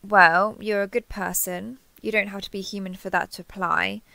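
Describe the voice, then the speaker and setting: A woman's voice: mid-range voice, fairly even intonation, moderate pace, vocal fry, sharp tone, dry recording. A woman playing a kindhearted, awkward young woman who gets easily flustered, speaking hesitantly and earnestly in an intimate roleplay audio drama.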